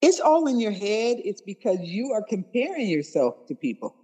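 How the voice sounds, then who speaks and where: A woman in her forties hosting a dating talk, frank and slangy, coaching with animated, blunt questions. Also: mid-range voice, normal speed, husky, long reverb tail, low-quality recording. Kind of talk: casual chat.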